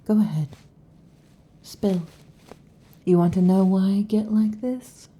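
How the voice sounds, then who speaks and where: A woman voicing a possessive, overheated female lamia in an ASMR roleplay, whispering softly and teasingly into a close mic. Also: medium pitch, steady tone, takes it slowly, husky. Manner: soft intimate whispering, teasing. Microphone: close sensitive mic.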